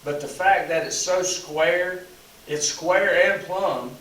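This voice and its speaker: A hoarse pastor leads a small study group in a loose, meandering way, leaning on "you know" between thoughts. He sits back from the mic, and the room rings around his voice with a faint hum underneath. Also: a little high, takes it slowly, a bit bright. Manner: rambling, frequent "you know" fillers. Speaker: pastor leading a Bible study. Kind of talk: casual teaching and group discussion. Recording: echoey room, mic at a distance.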